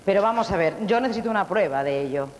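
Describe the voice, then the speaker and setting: A woman's voice: mid-range voice, lively intonation, quick pace, a little hiss or hum. Playfully bossy woman TV host. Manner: playfully bossy, interviewing guests with mock indignation. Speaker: TV show host. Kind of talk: TV variety show hosting. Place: TV studio.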